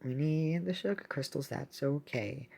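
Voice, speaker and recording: A woman giving casual, excitable gameplay commentary as a video host, thinking aloud as she plays. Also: low voice, measured pace, creaky, husky. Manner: excitable, exclaiming often; thinks aloud while playing.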